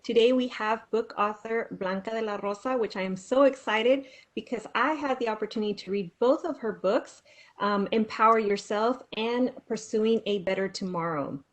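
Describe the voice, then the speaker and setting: A woman's voice: on the high side, normal speed. A woman hosting a webinar over a video call, introducing a guest in an upbeat, enthusiastic way. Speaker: webinar host.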